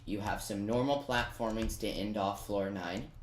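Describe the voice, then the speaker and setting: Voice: low-pitched, normal speed, slight background noise. Echoey casual gaming commentator. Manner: informal, talks directly to the viewer. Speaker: video game commentator. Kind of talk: casual gameplay commentary, step-by-step guide.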